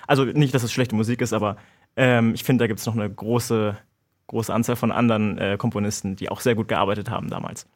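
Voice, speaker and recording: A man chatting casually as an interview guest, giving his views in a hesitant, measured way. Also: on the low side, fairly even intonation. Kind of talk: casual chat.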